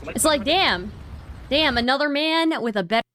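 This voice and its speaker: A woman's voice: sits high, expressive, normal speed, fairly bright tone, no echo. A woman doing casual livestream commentary in a slangy, repetitive, joking way.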